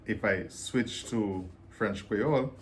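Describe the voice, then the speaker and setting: Dominican man, low voice, relaxed and explanatory, faint room hiss. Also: takes it slowly. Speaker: co-host. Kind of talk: casual explaining to camera.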